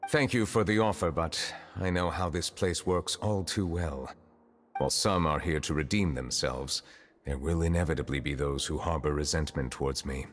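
Casting: ageless game magistrate, grave and courteous, very low voice, long reverb with music underneath. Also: male voice. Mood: polite, reserved. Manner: formal, deliberate, reserved. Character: solemn, courteous, ageless male chief justice. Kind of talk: video game voice acting.

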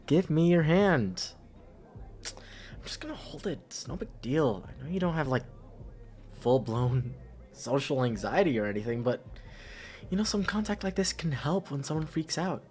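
A young man playing a caring, teasing, shy best friend in an intimate ASMR roleplay, speaking softly and hesitantly. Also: a little high, lively intonation, normal speed, long pauses, faint music behind, lightly acted. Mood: gently reassuring. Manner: soft, hesitant, stammering, breathy sighs and chuckles.

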